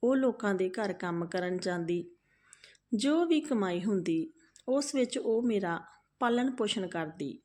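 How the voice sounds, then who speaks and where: A woman storyteller voicing a poor, humble young male thief who pleads respectfully and haltingly in a folk tale. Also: medium pitch, animated, a little mellow. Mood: sorrowful.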